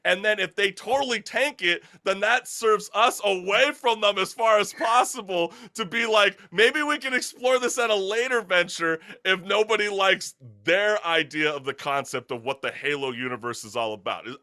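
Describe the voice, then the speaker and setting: A man's voice: sits high, animated, moderate pace, hardly any pauses, sharp tone. A man hosting a talk show in an opinionated, conversational way, asking emphatic rhetorical questions with casual slang and mild swearing.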